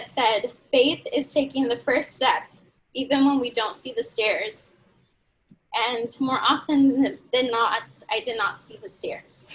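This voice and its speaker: Young woman with a high voice, earnest speaker rallying a crowd. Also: fairly bright tone, low-quality recording. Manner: earnest, motivational, leads audience in call-and-response. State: dry throat. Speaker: speaker at a community event. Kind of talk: personal speech to an audience.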